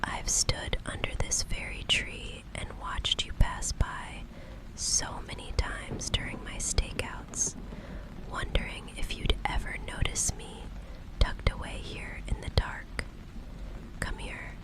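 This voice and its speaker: A woman playing an obsessive, tender private investigator in an ASMR roleplay, speaking in breathy, soothing, intimate reassurance. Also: close to an everyday voice, rough recording. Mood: tender, possessive. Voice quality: breathy, unvoiced whisper. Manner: slow, hushed, soothing reassurance.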